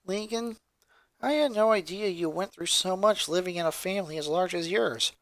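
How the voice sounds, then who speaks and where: A man's voice: high-pitched, moderate pace, fairly bright tone, no echo. A man voicing a tough but caring tomboy girl in a story reading, earnest and easily embarrassed. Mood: earnest, tender.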